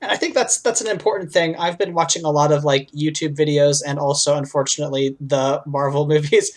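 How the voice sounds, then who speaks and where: A man co-hosting a podcast, giving informal, discursive commentary full of asides in a conversational way. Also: fairly high pitch. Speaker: podcast co-host.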